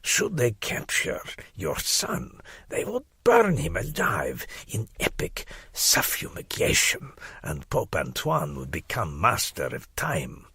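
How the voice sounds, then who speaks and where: A man voicing an obsessive crackpot scholar in a dramatic audiobook, husky and breathy, with a pompous, conspiratorial delivery that sinks toward whispers. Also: medium pitch, bright, forceful tone, dry recording, clean studio sound, voice pushed up, full character voice. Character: obsessive crackpot male scholar writing a grave warning letter.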